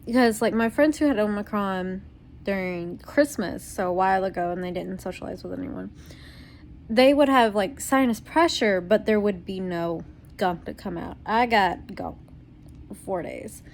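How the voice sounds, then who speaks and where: A young woman talks through a casual home video diary, her voice worn out and stuffy from a cold. She rambles along in a middle-pitched voice, full of ums and little laughs, with a faint hum of noise in the background. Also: moderate pace. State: tired, congested voice from covid. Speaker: vlogger.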